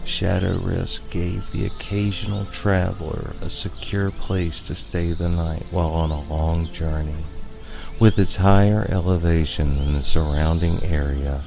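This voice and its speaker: A man narrating a scripted spooky tale in a measured, dramatic storyteller's manner. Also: deep voice, fairly even intonation, creaky. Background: music.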